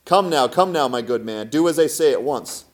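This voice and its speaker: A man voicing a calm, commanding rabbi who gives advice in a storybook tale, speaking deliberately and firmly. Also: mid-range voice, close to an everyday voice. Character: bearded rabbi, calm, commanding village adviser. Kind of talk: storybook character voice.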